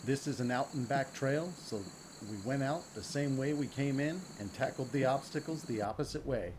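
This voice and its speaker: A man with a mid-range voice narrates a trail video in calm, even voice-over. Clear insect and outdoor noise sits behind him. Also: mid-range voice, normal speed. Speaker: channel host narrating.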